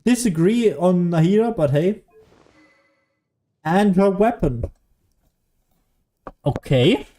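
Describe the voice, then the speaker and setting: Mellow, understated woman commenting on gameplay. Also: on the low side, lively intonation, slow, long pauses. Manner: understated, musing to self. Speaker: game streamer.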